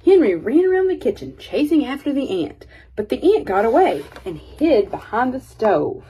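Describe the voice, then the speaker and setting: A woman reading a children's picture book aloud as an expressive storyteller. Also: a little high, normal speed, slight background noise.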